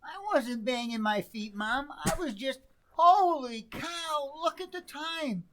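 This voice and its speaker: Woman playing a high-pitched excitable teenage boy. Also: slow, a little hiss or hum. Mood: flustered. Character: excitable, hurried teenage son. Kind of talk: old-time radio sitcom acting.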